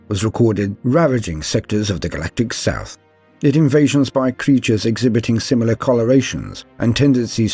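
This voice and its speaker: A man narrating war-fiction lore in a grave, theatrical manner, with deliberate phrasing that falls at the ends of lines. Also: lowish voice, a little mellow, slightly rough. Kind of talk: dramatic scripted reading of war-fiction lore. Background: background music.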